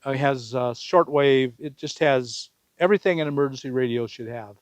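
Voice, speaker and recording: A man's voice: medium pitch, moderate pace, dry recording. A man hosting a casual product review, talking plainly to viewers and repeating words for emphasis. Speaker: video host reviewing products.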